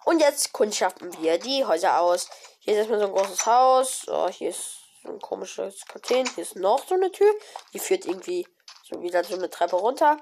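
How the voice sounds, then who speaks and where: A woman with a cold, giving casual, excited commentary on her own gameplay with many fillers and exclamations. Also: mid-range voice, moderate pace, slight background noise. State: has a cold. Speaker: gaming video creator. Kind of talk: casual let's-play commentary.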